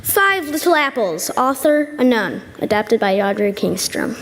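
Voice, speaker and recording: A woman's voice: on the high side, sing-song, a little slow, a bit bright, slight background noise. A woman giving a live recitation of a children's rhyme as a poetry reader. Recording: long hall reverb.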